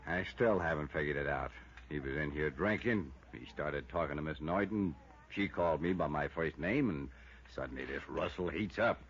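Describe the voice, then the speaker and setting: An American actor playing a gruff, defensive hotel bartender, guarded and colloquial, in a 1950s radio drama. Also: male voice, very deep voice, normal speed, a bit bright. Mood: defensive, frank. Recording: lo-fi old radio recording, faint noise.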